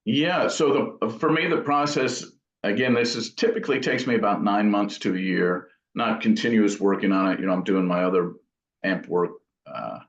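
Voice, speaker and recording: A man's voice: fairly low pitch, moderate pace. A man giving loose, meandering explanations in a casual interview as the guest. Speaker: guitar amp builder and guest. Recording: dull, roomy, echoing audio.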